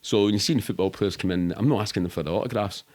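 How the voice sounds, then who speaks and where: A man's voice: on the low side, fast, darkish tone. A middle-aged man with a Glaswegian accent, telling rambling, sweary, matter-of-fact anecdotes in casual interview chat. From Scotland. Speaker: podcast guest.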